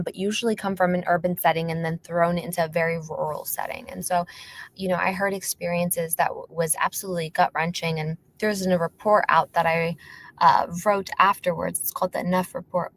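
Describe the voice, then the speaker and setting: A young adult woman guest on a podcast interview, telling her story earnestly and emphatically, with frequent fillers. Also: lowish voice. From born in Palestine, raised in North Philadelphia.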